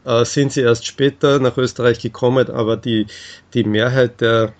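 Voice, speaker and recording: A man answering in an interview, explaining things in a halting, measured way with frequent hesitations. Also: mid-range voice, fairly even intonation, muffled recording.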